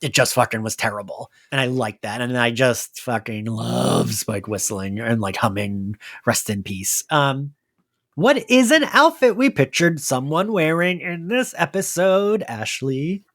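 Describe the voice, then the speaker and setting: Expressive man hosting a casual podcast. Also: mid-range voice, measured pace, no room sound, studio quality. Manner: informal, swears casually. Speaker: podcast host. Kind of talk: casual pop-culture podcast chat.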